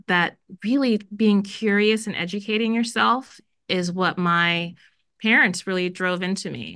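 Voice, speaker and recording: A woman's voice: on the low side, fairly bright tone, smooth, dry recording, studio quality. A middle-aged woman from Jamaica, a school dean, speaking earnestly in a podcast interview with many fillers.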